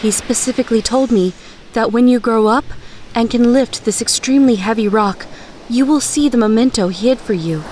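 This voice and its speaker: A woman voicing a loving, worried mother in an animated story, speaking tenderly and solemnly. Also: a little high, normal speed, slight background noise, close to an everyday voice. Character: loving mother of a young hero, worried, wise.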